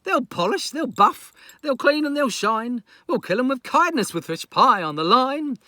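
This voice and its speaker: A man's voice: very high-pitched, big pitch swings, moderate pace, pushed and bright, dry recording, studio quality, pitched above the performer's own voice, exaggerated voice. A man playing a lazy, scheming pirate captain in a children's story, declaiming in a theatrical, comic way. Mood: excited scheming.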